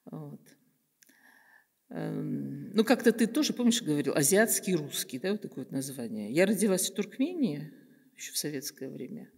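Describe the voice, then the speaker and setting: An older woman with a slightly low voice speaks slowly and reflectively, pausing often and searching for her words. She sits at a table mic in a bright room, and her voice carries a noticeable room reverb. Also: big pitch swings, creaky, breathy edge. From Kazakhstan. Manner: thoughtful, many pauses and fillers. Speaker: guest artist. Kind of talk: reflective interview talk. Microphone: table microphone on stand.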